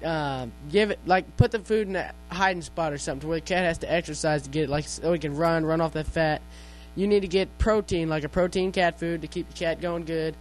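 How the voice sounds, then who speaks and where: A clueless male veterinarian rambles through his advice with plenty of fillers and restarts, as a man plays him. His voice sits somewhat high, and a low mains hum with faint music runs underneath. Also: a little fast, hardly any pauses, close to an everyday voice, low-quality recording. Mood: rambling. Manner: rambling, hesitant, filler-heavy.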